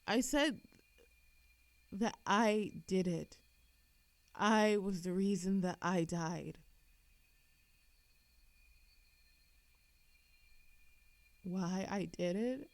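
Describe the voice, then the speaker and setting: A woman playing a lovesick, defensive immortal in an audio roleplay, speaking in a halting, emotional way to the listener. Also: mid-range voice, no room sound. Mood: anguished confession. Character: lovesick immortal woman, defensive, reckless, confessing to a Grim Reaper. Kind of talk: audio roleplay monologue, acted intimately to the listener.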